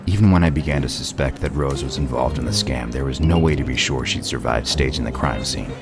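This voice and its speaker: A gruff detective played by a man in an audio drama. The voice is extremely low and creaky, with a dry, sardonic edge. Music plays clearly underneath. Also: fairly quick. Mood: explaining. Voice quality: gravelly. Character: gruff, sardonic male detective laying out a case. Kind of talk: audio play acting, detective explaining a case.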